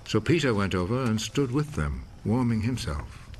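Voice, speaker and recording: A man narrating scripture for a film in a measured, solemn storytelling manner. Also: on the low side, very animated, slight background noise. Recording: film score behind the voice. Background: music.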